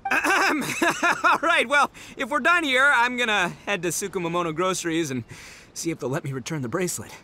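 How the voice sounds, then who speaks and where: A man's voice: sits high, brisk, sharp tone. An actor playing a brash, blustering, carefree male gang boss in a video game. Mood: breezy, evasive. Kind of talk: English video game dub acting.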